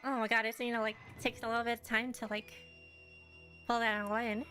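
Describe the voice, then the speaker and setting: A woman with a high, very bright voice chats casually while streaming a game. She reacts aloud and exclaims freely. Game music sits faintly behind her. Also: little pitch movement, a little fast. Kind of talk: casual chat and live reactions while gaming.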